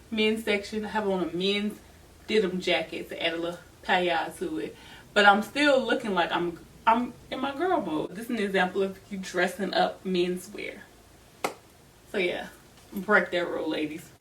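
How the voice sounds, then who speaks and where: A woman's voice: mid-range voice, a bit bright. A woman vlogger giving casual, chatty advice to camera in a sassy, emphatic way with informal slang. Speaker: host of a fashion advice vlog. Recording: distant mic with noticeable background noise.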